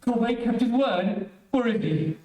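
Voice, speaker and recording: Man playing very high-voiced, alarmed doctor. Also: a little slow, dark tone. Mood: shocked. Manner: exclamatory, incredulous. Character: alarmed, bewildered hunted doctor. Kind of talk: old-time radio melodrama acting. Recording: echoey room, far from mic.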